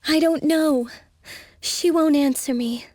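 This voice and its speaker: An actress playing a gentle, unsure young princess in a video game, soft-spoken and earnest. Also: female voice, high voice, slow, no room sound, clean studio sound. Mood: uncertain. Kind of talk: English video game dub acting.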